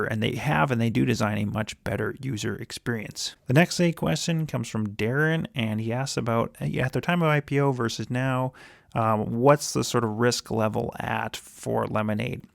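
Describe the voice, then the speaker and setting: A man's voice: mid-range voice, creaky, dry recording, clean studio sound. A man giving informal solo commentary on business as a show host, thinking aloud and often rephrasing himself, with an enthusiastic manner. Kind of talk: informal solo commentary on a company earnings call.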